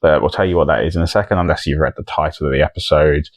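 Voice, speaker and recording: British male podcast co-host, very low voice, dry close sound, casual banter. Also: rapid-fire, no room sound.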